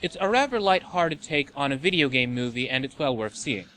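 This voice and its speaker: A man's voice: mid-range voice, lively intonation, a little fast, fairly bright tone, a little hiss or hum, poor audio. A man hosting a video game and movie show, reading scripted list narration with a wry, tongue-in-cheek manner.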